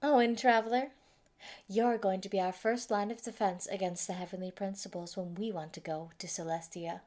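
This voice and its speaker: A woman's voice: medium pitch, steady tone, normal speed, a little mellow, close to an everyday voice. A woman voicing a sadistic, gleeful female mad scientist who taunts and gloats over a captive in a theatrical roleplay monologue. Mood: scheming, commanding. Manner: taunting, gloating, giggly, swinging into whispers. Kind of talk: theatrical villain monologue, fan roleplay audio.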